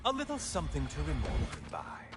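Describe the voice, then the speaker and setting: A man's voice: on the high side, wildly swooping pitch, a little fast, reverberant, over loud music. An actor playing a bitter, vindictive male god in a video game, with a sneering, sarcastic, theatrical delivery. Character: mocking, bitter sun god, vindictive severed head. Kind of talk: dramatic video game acting.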